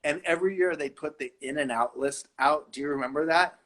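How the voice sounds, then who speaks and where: Playful middle-aged man, slightly high voice. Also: a little fast, pushed and bright. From Los Angeles. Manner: playful, teasing, laughs often. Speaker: fashion designer guest. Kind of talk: casual video-call chat. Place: his home.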